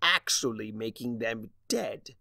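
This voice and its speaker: A man acts out a mocking, vengeful trickster of chaos for a storybook reading. The creature is pompous and wordy, taunting in long, leisurely phrases. The pitch leaps about and the voice often drops into a gravelly creak. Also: expressive, slow, sharp tone, no echo, clean studio sound, heavily acted. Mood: indignant scolding. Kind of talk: dramatic fan fiction audiobook reading.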